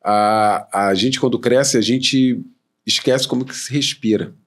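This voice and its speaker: A man's voice: mid-range voice, measured pace. A man with a Brazilian Portuguese accent hosting a podcast, asking questions and chatting casually with his guest. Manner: conversational, affirming, asks questions. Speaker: podcast host. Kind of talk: casual interview chat.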